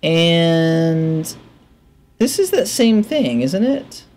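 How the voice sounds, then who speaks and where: A man in his thirties giving casual game commentary, thinking aloud in a halting, musing, bemused way into a headset mic. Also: a little high, very animated, darkish tone, a little hiss or hum. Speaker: gaming video host. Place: indoor room at a desk.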